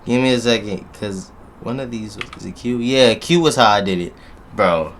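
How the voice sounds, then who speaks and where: A man with a deep voice doing animated, joking let's play commentary and talking straight to his audience. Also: normal speed, a little hiss or hum. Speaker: gaming YouTuber.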